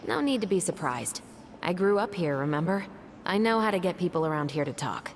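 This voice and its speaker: An actress playing a composed, methodical young woman detective in a video game, speaking in a measured, articulate and analytical way. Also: on the low side, sing-song, slight background noise. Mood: calm, assured. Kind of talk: scripted video game dialogue.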